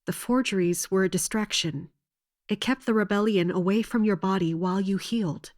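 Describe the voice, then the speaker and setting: A woman's voice: medium pitch, darkish tone, lightly acted. Formal, resolute mercenary captain played by a woman. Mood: guarded, formal. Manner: formal, measured, respectful but defiant. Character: loyal, resolute female mercenary captain serving royalty. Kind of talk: audio fantasy drama acting.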